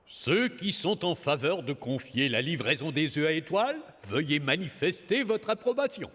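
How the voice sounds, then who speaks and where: A stately, animated cartoon rabbit king. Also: a little high, soft background music. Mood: formal, firm. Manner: stately, ceremonious, deliberate. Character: kindly, formal old rabbit king, fair-minded. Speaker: voice actor in a French-language cartoon. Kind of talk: animated film character acting.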